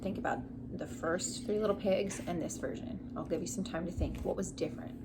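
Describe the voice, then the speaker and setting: A woman's voice: on the low side, a little fast, very noisy. A young adult woman speaking as a teacher, giving an instructional story lesson for children and prompting listeners with questions. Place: small living room indoors. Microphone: camera a short distance away.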